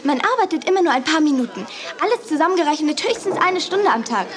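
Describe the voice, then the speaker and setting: A woman's voice: sits high, lively intonation, fast. A woman playing a conceited, ambitious, snippy girl who dreams of fame in a children's audio drama. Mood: smug. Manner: boastful, snippy. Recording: slightly noisy 1980s cassette.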